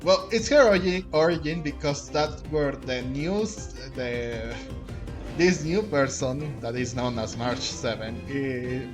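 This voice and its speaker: A man doing casual gameplay commentary, thinking aloud in a halting way and sometimes reading game text. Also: medium pitch. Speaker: game streamer. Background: game music.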